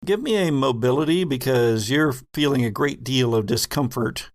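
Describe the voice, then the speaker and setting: A man's voice: mid-range voice, normal speed, background noise. A man narrating a horror tabletop roleplaying game as game master, in a descriptive storytelling manner.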